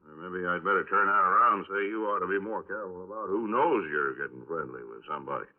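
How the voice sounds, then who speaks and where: A man acting a folksy, unhurried police detective in a 1950s radio drama, speaking in a hesitant, rambling, casual way. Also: deep voice, lightly acted. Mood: plainspoken. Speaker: actor in a radio crime drama. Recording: narrow-band vintage 1950s radio recording.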